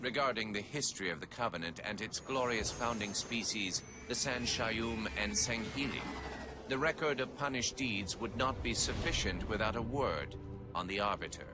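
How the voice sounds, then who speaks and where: A man acting as a formal historian in a game cutscene, narrating an archive in a solemn, ornate and measured way. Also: lowish voice, noisy background, natural voice. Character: formal chronicler of an alien covenant's history.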